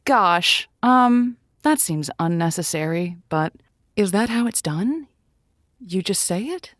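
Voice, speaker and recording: An animated female radio host played by an actress in an audio drama, swinging widely in pitch. She is folksy, playful and a little hesitant, earnest but skeptical. The sound is dry and close, studio-like. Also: takes it slowly, dry recording. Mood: awkward, polite. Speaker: radio advice show host.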